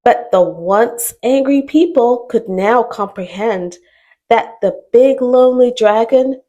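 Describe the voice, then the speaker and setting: Kids' read-aloud host, woman, fairly high voice, very slow, gentle and expressive. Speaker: children's storytime host. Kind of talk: rhyming read-aloud for kids.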